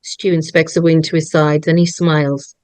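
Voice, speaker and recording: A woman's voice: sits low, level tone, normal speed, a little mellow, no room sound. A woman narrator reading stage directions aloud at a dramatic script table read.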